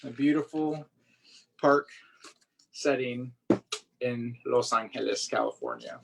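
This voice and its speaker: Storyteller, children's read-aloud, medium pitch, expressive, sing-song refrains, chatty asides. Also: takes it slowly, vocal fry, noisy background. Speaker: author reading own picture book aloud.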